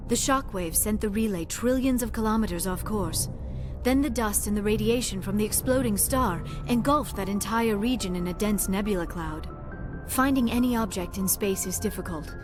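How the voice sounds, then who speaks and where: An actress playing an earnest alien female researcher in a video game, speaking in a measured, formal way. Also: mid-range voice, lightly acted. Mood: explanatory, grave. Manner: measured, formal, avoids contractions. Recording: loud music behind the voice.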